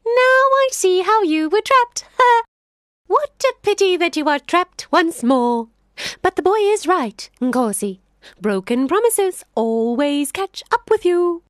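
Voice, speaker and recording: A woman's voice: very high-pitched, lively intonation, dry recording, clean studio sound, pitched above the performer's own voice, heavily acted. A woman playing a giggly, sly jackal. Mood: sly flattering. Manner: fawning, chuckling, quick chatter.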